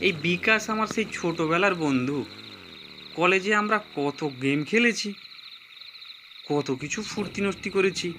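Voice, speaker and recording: A man's voice: fairly high pitch, bright, forceful tone, a little hiss or hum. A man narrating a dramatized first-person horror story. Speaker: narrator and voice actor.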